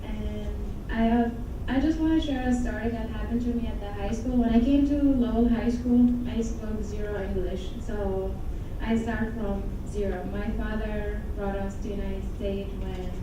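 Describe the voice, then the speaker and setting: A woman's voice: mid-range voice, steady tone, moderate pace, few pauses. Earnest young woman from Iraq, echoey hall. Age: young adult. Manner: earnest, plain storytelling with uneven loudness. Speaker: panel speaker, student. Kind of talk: personal storytelling at public event. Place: large hall at a public event. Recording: echoey hall, distant mic, muffled, noisy.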